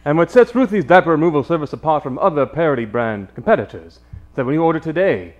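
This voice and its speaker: A man playing a hearty, earnest pitchman in a parody old-time radio commercial. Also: lively intonation, quick pace, dull audio, lightly acted.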